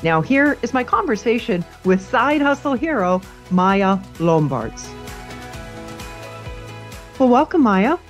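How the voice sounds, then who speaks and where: Woman, podcast host, emphatic and upbeat, lively pitch, light music underneath. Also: slow pace, long pauses. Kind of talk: scripted intro then interview questions.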